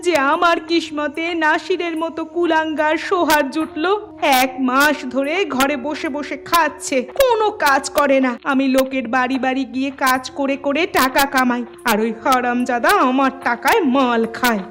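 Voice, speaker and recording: A woman's voice: sits high, unhurried, fairly bright tone. A woman voicing a bitter, overworked village wife in a cartoon, complaining wearily. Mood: bitter, lamenting. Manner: complaining, weary. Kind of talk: cartoon voice acting.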